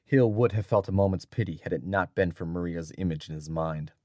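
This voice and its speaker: Low-voiced male audiobook narrator. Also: brisk, darkish tone, no echo. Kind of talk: scripted dramatic story narration.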